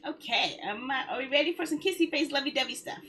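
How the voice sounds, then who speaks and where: A woman's voice: high voice, lively intonation, fast talker, fairly bright tone, slight background noise. A woman game streamer talking casually to her viewers in a rambling, conversational way. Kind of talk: casual livestream chat.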